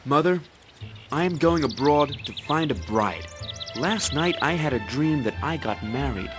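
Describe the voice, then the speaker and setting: A young actor playing a vain, boastful fairy-tale hero who talks in a brash, impatient way in a game. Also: male voice, a little high, animated, a little slow, music in the background. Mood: cheeky. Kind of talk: game voice acting.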